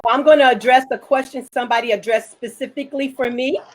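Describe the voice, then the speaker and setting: Female host, independent filmmaker, fairly high voice, plainspoken and confident with viewers. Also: fairly even intonation, fast talker. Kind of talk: panel chat, answering viewer questions.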